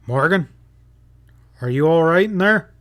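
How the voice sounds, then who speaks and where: A man's voice: on the high side, animated, unhurried, fairly bright tone, pitched above the performer's own voice. A man voicing a bold cowboy lawman in a western story, snapping out commands. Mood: taunting, firm.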